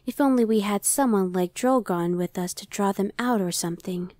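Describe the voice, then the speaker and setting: A woman's voice: medium pitch, normal speed, a little mellow, close to an everyday voice. A woman playing a casual, dry-witted female demon scout in an ASMR roleplay audio drama. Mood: casual, resigned. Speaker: voice actor.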